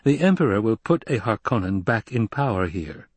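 A man's voice: fairly low pitch, very animated, normal speed, slightly rough, no echo. A male narrator voicing a proud young nobleman who speaks with commanding force. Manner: imperious, forceful delivery.

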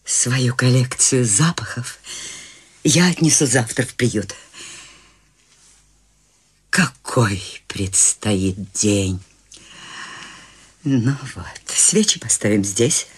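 A woman's voice: rumbling low, animated, drawn-out words, pauses often, husky, full character voice. An actress playing an eccentric, free-spirited elderly woman in a studio radio play, tender and wistful. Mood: whimsical. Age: older. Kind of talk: studio radio drama acting.

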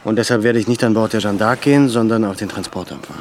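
Low-voiced, weary male leader played by an actor. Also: fast talker, a little hiss or hum, close to an everyday voice. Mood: weary, confiding. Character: weary, serious male leader longing for solitude. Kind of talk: German radio drama acting.

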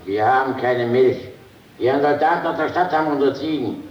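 An actor playing a surly, stingy old peasant, grudging and curt, in 1950s German stage style on a noisy, echoey rehearsal tape. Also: male voice, mid-range voice, brisk, distant mic, dull audio, close to an everyday voice. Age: older.

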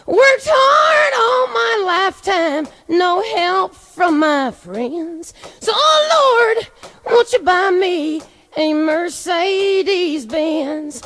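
A woman singing a song slowly, in a theatrical performance. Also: very high voice, animated, fairly bright tone, raised voice, faint music behind. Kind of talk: slow singing.

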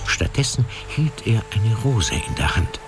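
A man's voice: lowish voice, big pitch swings, unhurried. A man narrating a scripted fantasy story in a radio play. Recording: music under the voice.

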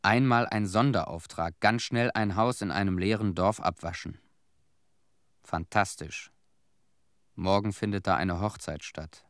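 An actor playing a weary, bitter former cleanup worker who recalls events in a terse, sober monologue for a German radio play. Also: male voice, deep voice, unhurried, fairly bright tone, clear, resonant tone, dry recording, studio quality. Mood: bleak, matter-of-fact.

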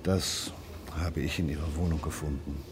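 An actor playing a dry, terse, probing police detective in naturalistic radio drama dialogue. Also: male voice, rumbling low, fairly even intonation, normal speed, noisy background. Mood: dry, confiding.

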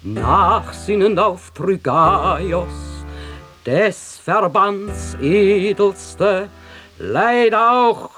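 Eine Schauspielerin spielt in einem komischen Hörspiel eine junge, bewundernde Tochter, die hochtrabend in Versen deklamiert. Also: female voice, mid-range voice, expressive, very slow, fairly bright tone, faint music behind. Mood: solemn. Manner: lofty, declamatory. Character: solemn, admiring young daughter declaiming in verse. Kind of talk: declamatory verse in comic radio drama. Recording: 1969 radio play recording.

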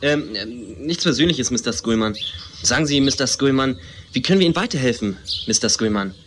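A man's voice: medium pitch, expressive, brisk, natural voice. A man playing a polite, awkward teenage boy detective who stammers through formal talk in an amateur parody radio play. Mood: flustered, polite. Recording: noisy amateur recording with clicks.

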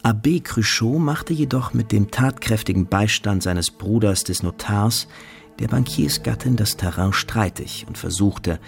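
Deep, creaky-voiced literary narrator. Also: male voice, unhurried, soft background music. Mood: composed. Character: composed literary narrator of a period novel. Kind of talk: scripted narration in German radio drama.

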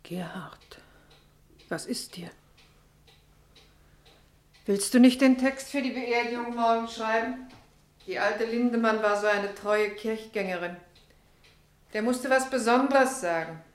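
An actress in a German radio play playing an anxious, grieving wife with a hushed, pleading manner. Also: female voice, a little high, slow, pauses often, echoey room. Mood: worried.

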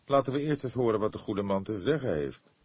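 A seasoned senior police detective from an audiobook, played by a male reader. The voice is low and husky, measured and patient, earnest in explaining things. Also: expressive, a little mellow, no room sound. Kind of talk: audiobook character dialogue.

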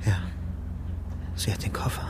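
A man's voice: a little slow, in a whisper, close to an everyday voice. An actor playing a young fugitive who narrates his grim story soberly and tensely in short sentences for a radio crime drama. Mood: tense, hushed.

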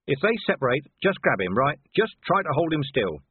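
A man playing a gruff, harried police commander in an audiobook, giving curt and forceful orders. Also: on the high side, very animated, a little fast, dry recording, narrow, tinny audio, pitched above the performer's own voice. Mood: urgent, commanding.

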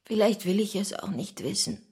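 A woman playing a worn, anxious, needy mother in a radio drama, with a husky, breathy voice. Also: medium pitch, normal speed, dark tone. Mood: anxious, weary. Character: worn, anxious mother, needy and evasive, clinging to her son. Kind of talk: German radio drama acting.

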